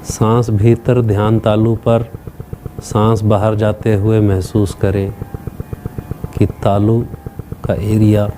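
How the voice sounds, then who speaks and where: A man leading a guided meditation as a teacher, speaking calmly in short instructional phrases with long pauses. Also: lowish voice, a little hiss or hum.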